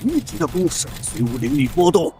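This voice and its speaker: A man voicing a haughty, condescending old cultivator and senior sect guardian in a fantasy animated comic. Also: on the high side, fairly quick. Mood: alarmed, wary. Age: older. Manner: pompous, condescending, theatrical.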